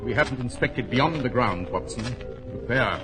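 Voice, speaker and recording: A man acts a masterful gentleman detective with a widely swinging, animated delivery. He is clipped, exact and sure of himself, in the manner of 1940s radio drama. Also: medium pitch, moderate pace, music in the background, natural voice, poor audio. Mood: urgent, cautious. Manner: clipped, authoritative, precise. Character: brisk, commanding, self-assured gentleman detective.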